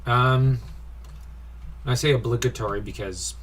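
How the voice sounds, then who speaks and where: A man's voice: medium pitch, unhurried, fairly bright tone, a little hiss or hum. A man giving an informal comic review in a nitpicky, critical way, given to sighing. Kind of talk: informal comic review commentary with read-out dialogue.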